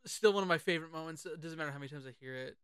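An excitable, sweary podcast host chatting casually about films. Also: medium pitch, very fast, clean tone, no echo, clean studio sound. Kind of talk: casual film chat with quoted lines.

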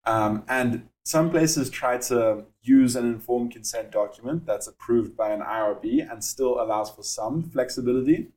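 South African man, young, founder being interviewed, steady explainer, mid-pitch voice. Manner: explanatory, measured, with frequent fillers. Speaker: startup founder. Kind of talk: podcast interview.

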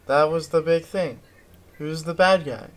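A man co-hosting a podcast, chatting casually about pop culture in a halting way with drawn-out words. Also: fairly high pitch. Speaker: podcast co-host.

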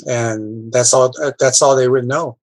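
A man talking in a casual group discussion, halting and full of fillers and restarts. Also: mid-range voice, steady tone, a little mellow, no room sound. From abroad. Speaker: discussion participant.

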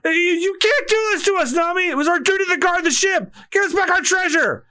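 A man's voice: very high-pitched, brisk, pushed and bright, pitched above the performer's own voice. A man voicing a cowardly pirate underling from a comic, stammering and wailing in panic. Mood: panicked.

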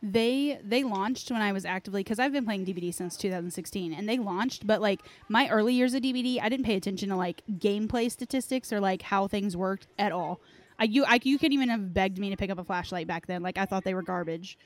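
A woman's voice: mid-range voice, fast. A woman hosting a podcast in casual chat, informal and reactive, often dropping "like" into her sentences. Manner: informal, reactive, peppers talk with "like". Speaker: podcast host.

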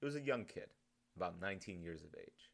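A man's voice: low-pitched, expressive, fast talker, gravelly. A man reading his own story as scripted audiobook narration.

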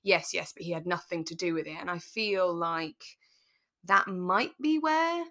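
A woman co-hosting a relaxed discussion podcast, talking in a thoughtful, tentative, explanatory way. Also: fairly low pitch, measured pace. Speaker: podcast co-host. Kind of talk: relaxed radio drama discussion chat.

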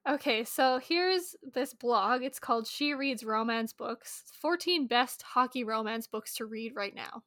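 Woman podcast co-host, very bright voice, fairly high pitch, casual book talk. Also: unhurried, hardly any pauses, dry recording, clean studio sound.

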